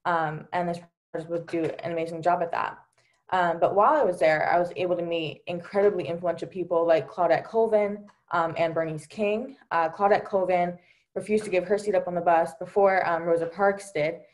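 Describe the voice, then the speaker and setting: Young woman panelist, slightly low voice, sincere storytelling over a video call. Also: fairly even intonation, a little fast. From Delaware, USA. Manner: earnest, many fillers like um and you know. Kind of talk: personal account on a virtual panel.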